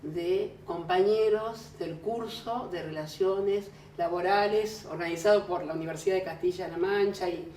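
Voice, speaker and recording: A woman's voice: on the low side, normal speed, few pauses, a little mellow, slight background noise. Argentine woman interviewing from across an echoey room. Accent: Argentine Spanish. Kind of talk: conversational interview. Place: room in Rosario. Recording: echoey room, mic at a distance.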